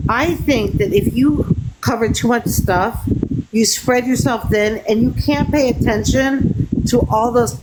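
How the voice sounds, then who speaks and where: A woman's voice: on the high side, background noise. A woman hosting a live debate stream in casual chat, emphatic, repeating her points and talking over her guest.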